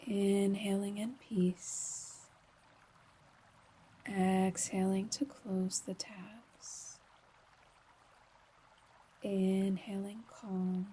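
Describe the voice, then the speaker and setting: A woman guiding a slow meditation in a soft, breathy voice, often near a whisper, calm and soothing, with long pauses. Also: mid-range voice, a little hiss or hum. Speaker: yoga nidra guide.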